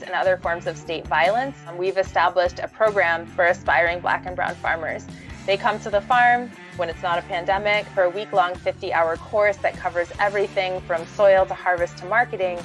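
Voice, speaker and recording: A woman speaking as a farmer and activist, explaining her work in a documentary interview style. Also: fairly low pitch. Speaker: farmer and food justice activist. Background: background music.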